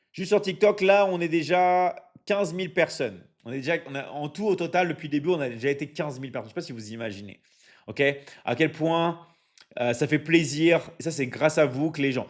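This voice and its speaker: A man from France coaching and selling on a live stream, energetic and repetitive, with lots of fillers and frequent check-ins to his viewers. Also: a little high, moderate pace. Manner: energetic, repetitive, drops many fillers and asks "ok? d'accord?". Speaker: online academy coach hosting a live stream. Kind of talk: live stream sales pitch reading chat.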